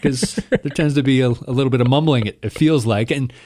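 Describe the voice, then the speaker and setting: Guy from alberta rambling about 90s music, repeats himself, gets animated, mid voice. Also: medium pitch, brisk. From Alberta, Canada. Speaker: podcast co-host. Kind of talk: casual music chat.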